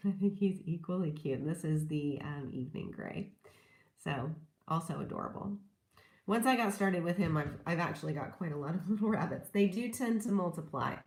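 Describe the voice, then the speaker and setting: A woman demonstrating papercrafting in a gentle, chatty tutorial, a little hoarse from a lingering cold. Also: sits low, reverberant. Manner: gentle, chatty, laughs softly while working. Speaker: papercrafting instructor. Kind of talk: casual craft tutorial demonstration.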